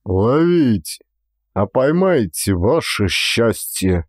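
A man's voice: on the low side, very animated, very slow, no echo, studio quality, voice pushed down, full character voice. A man voicing a stolid, sly peasant Cossack daredevil who plays innocent, with serious rustic folk speech, in a dramatised audiobook. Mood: defiant, sly. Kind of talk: dramatised audiobook character acting.

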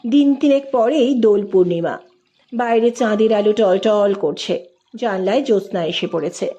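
A woman's voice: medium pitch, moderate pace, mellow, lo-fi sound. A woman reading a literary story aloud as a scripted narrator.

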